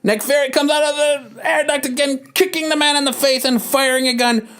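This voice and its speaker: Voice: sits high, steady tone, fairly quick, fairly bright tone. A podcast host narrating a comic book dramatically in an amateur, casual way.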